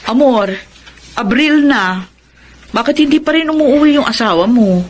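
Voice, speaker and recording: A woman's voice: on the high side, expressive, normal speed, slight background noise, lightly acted. A woman playing a blunt, protective older sister in a Filipino radio drama. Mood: concerned.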